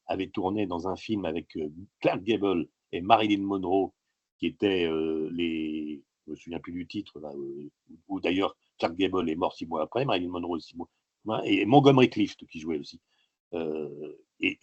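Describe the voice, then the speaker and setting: A man being interviewed as an author, telling stories in a halting, digressive way with many filler sounds. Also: sits low, darkish tone, no echo, dull audio. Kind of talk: casual interview talk.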